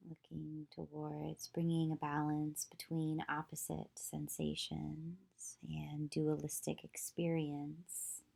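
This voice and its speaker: A woman leading a guided meditation as a yoga nidra teacher, soothing and measured, with long pauses between short phrases. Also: deep voice, completely flat delivery, vocal fry, a little mellow.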